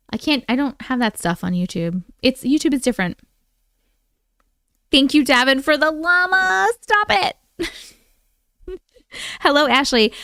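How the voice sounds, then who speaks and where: A woman hosting a live stream, reading listener stories aloud in a casual, animated way with chatty asides. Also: high-pitched, no room sound, studio quality. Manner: animated, informal, interjects reactions.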